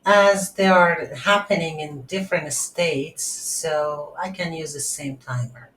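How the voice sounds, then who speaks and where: A woman giving an unscripted screen-recorded coding tutorial, thinking aloud in halting short phrases. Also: deep voice, fairly even intonation, fairly bright tone. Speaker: tutorial instructor. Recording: roomy echo, audible background noise.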